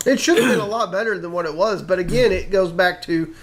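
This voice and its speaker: A man's voice: sits high, quick pace. A man co-hosting a show, giving his opinions in casual conversation. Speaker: co-host of a show. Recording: clear background noise, room ambience.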